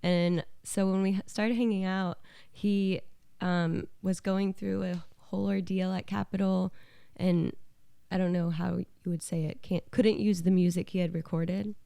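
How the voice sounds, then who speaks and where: A woman's voice: lowish voice, flat delivery, measured pace, gravelly, warm tone, clear, resonant tone, no echo. A young American woman co-hosting a podcast, chatting casually and telling stories in a laid-back, sarcastic manner. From Alabama and the southeastern US. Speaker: podcast co-host. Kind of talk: casual conversational storytelling.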